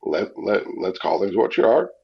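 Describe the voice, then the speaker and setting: Lively husky-voiced male radio host. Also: low-pitched, moderate pace. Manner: lively, teasing questions. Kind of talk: radio interview. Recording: muffled lo-fi radio sound.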